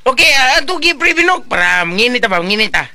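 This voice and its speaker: A man playing a tipsy, hesitant drinking buddy in a comedy skit, talking haltingly and repetitively with a Cebuano Filipino accent. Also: very high voice, very animated, vocal fry, bright, forceful tone, heavily acted. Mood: joking. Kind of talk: casual comedic skit banter.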